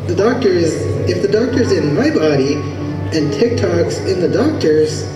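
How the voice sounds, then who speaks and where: Woman as an anxious, animated cartoon pony. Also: lowish voice, moderate pace, natural voice. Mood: panicked. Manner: stammering, exclaiming. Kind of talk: comic animated radio play. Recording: very echoey distant playback, loud music.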